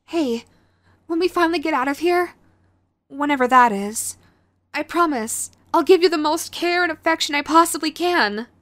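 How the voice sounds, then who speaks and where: A woman's voice: high voice, normal speed, fairly bright tone, dry recording. A woman playing a sweet, clingy, remorseful yandere girlfriend in an audio roleplay, hesitant and pleading, speaking intimately to the listener. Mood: affectionate. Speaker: voice actor.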